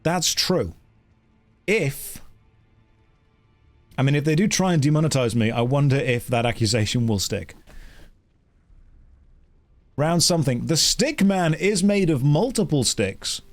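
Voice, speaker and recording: A man's voice: fairly high pitch, animated, a little slow, pauses often, soft background music. A man with a British accent hosting a casual livestream, reading chat polls aloud in a playful, wry, jokey way. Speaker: streamer.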